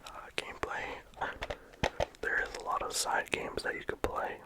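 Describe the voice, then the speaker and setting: Soft casual ASMR whisperer. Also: normal speed. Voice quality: whispered throughout. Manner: casual rambling with many "like" fillers. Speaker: game reviewer. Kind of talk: whispered ASMR game review.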